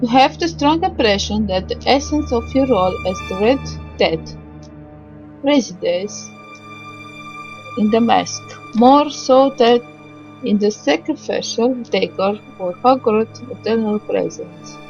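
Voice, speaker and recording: A woman narrating a visual novel playthrough, reading the text aloud haltingly with stumbles, pauses and small asides. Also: a little high, animated, highs cut off. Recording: music behind the voice. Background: game music.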